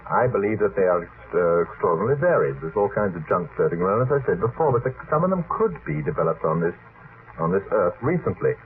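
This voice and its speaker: A man's voice: deep voice, sing-song, quick pace. A man moderating an unscripted late-night radio discussion, wordy and hesitant, often cutting in to steer the others. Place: radio studio. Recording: noisy, phone-like vintage radio archive recording.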